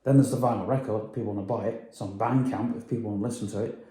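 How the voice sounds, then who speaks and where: Man, casual vlog chat, mellow voice, hesitant and rambling, echoey room. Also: on the low side, fairly even intonation, racing. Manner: rambling, hesitant, many fillers. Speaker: vlogger.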